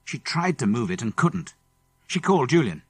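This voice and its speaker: A man's voice: medium pitch, big pitch swings, normal speed, husky, dry recording. A man with a British English accent narrating a children's adventure story dramatically. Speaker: audiobook narrator. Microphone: close studio microphone.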